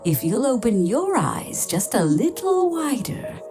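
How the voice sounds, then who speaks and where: Woman, children's podcast host, very slow delivery, animated pitch, playful, theatrical, music bed. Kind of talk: scripted playful introduction for children.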